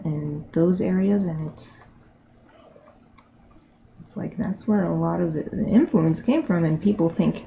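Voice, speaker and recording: A woman's voice: lowish voice, vocal fry, warm tone. A woman live-streaming her digital painting from her bedroom, chatting casually and talking to herself as she works. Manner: chatty, laughs often, talks to herself while working. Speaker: digital artist livestreaming. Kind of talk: casual chat while drawing. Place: bedroom at home. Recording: dull lo-fi stream audio with faint hiss.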